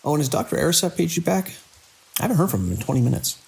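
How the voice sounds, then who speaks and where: Man acting as hospital director, audio drama, very gravelly, husky, animated pitch, officious, downplaying crisis. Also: heavily acted. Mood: exasperated, controlled. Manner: brisk, officious, dismissive reassurance.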